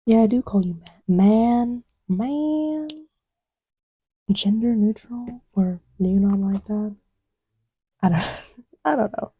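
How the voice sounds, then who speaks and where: A woman acts out a playful young girlfriend in an intimate audio roleplay. Her voice is very dark and mellow, with a breathy softness. She murmurs haltingly and unhurriedly, teasing while half absorbed in what she is doing, and sounds close and dry. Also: medium pitch, no echo, low-quality recording.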